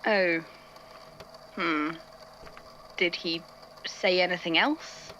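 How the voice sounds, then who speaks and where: A woman's voice: lowish voice, extremely slow, bright, forceful tone, muffled recording, slight background noise, full character voice. A woman playing an irritable, bookish male archivist in an audio drama, speaking hesitantly into a recorder and thinking aloud. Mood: curt. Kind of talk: audio drama narration, recorded case notes.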